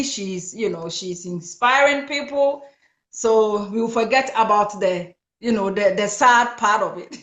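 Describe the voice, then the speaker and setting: A woman hosting a live-streamed talk show, excitable and quick to laugh, with many fillers. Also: mid-range voice. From Ghana. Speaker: host of an online talk show. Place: home in Brisbane. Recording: echoey room.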